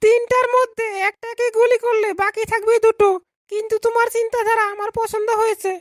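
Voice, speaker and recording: Very high-pitched, even-toned cartoon schoolmistress voiced by a woman. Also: a little fast, very noisy. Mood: teacherly, questioning. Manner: instructing, addresses pupils in turn. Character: young female schoolteacher, polite but easily outwitted. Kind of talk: cartoon comedy dialogue.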